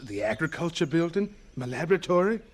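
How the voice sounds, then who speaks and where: A man's voice: fairly high pitch, sing-song, brisk. An actor playing an earnest, polite, anxious male scientist in a radio drama, hesitant and stammering as he speaks. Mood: eager, perplexed.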